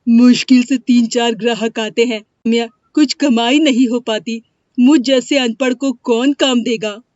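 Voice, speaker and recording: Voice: medium pitch, fairly even intonation, unhurried, darkish tone, no room sound, lightly acted. A performer voicing a poor, humble cobbler boy in a story, polite and plaintive. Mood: forlorn. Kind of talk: animated moral-story dialogue. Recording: dry, dull lo-fi studio sound.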